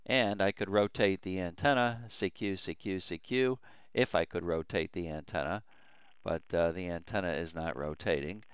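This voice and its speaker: A man who works as an amateur radio operator, talking casually in a halting, matter-of-fact way as he demonstrates his station and makes radio calls. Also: clear, resonant tone, no room sound, muffled recording. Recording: loud radio noise, vehicle-like rumble.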